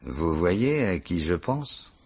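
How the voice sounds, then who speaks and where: An actor playing a dogged, unflappable police commissioner in a radio mystery, dry and gently ironic in his delivery. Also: low voice, sing-song, takes it slowly, slightly rough, heavily acted. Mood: firm, probing. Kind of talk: French radio mystery play acting.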